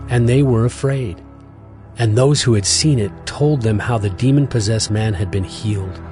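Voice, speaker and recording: A man narrating a dramatized scripture reading in a steady, even storytelling voice. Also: fairly low pitch. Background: music.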